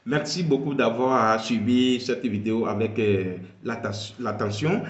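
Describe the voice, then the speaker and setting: A man analysing a video, speaking slowly and emphatically and repeating key phrases. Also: mid-range voice, roomy sound. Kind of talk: political commentary.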